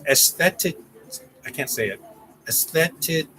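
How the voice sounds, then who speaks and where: A man's voice: a little high, animated, moderate pace, pushed and bright, a little hiss or hum. A man hosting a casual livestream, rambling with restarts and reading out viewer names. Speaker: channel host.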